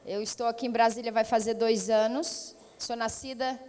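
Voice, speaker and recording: A high-pitched woman with a São Paulo accent preaches as a missionary in a fervent Pentecostal style. She moves from calm greetings to loud, urgent exhortation. Her voice rings through a church hall with a long reverb. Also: moderate pace, slight background noise. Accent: São Paulo (paulista). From São Paulo state, Brazil. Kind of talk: Pentecostal sermon.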